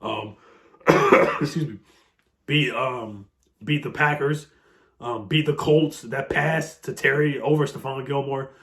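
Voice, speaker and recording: Hoarse raspy man with a cold. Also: mid-range voice, steady tone, slow pace, fairly bright tone. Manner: fan talk with frequent ums and throat clearing. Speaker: sports video host. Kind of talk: casual vlog commentary.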